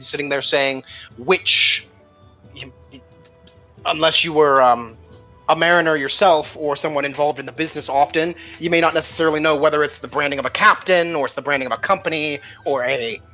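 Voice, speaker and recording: A man's voice: a little high, pushed and bright, muffled recording. A man speaking casually as game master, improvising descriptions for players in a tabletop game. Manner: casual, conversational. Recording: band-limited audio with music underneath. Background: music.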